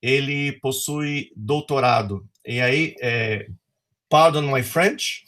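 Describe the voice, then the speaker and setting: A man's voice: mid-range voice, slow, a bit bright. A man hosting an academic webinar over a video call, reading a formal introduction with frequent fillers. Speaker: webinar host.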